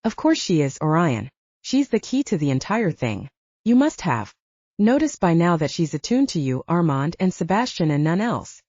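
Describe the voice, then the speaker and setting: A woman voicing a composed middle-aged father and leader, measured and authoritative, in an audiobook. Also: lowish voice, very animated, a little mellow, no echo, muffled recording. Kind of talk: audiobook character dialogue.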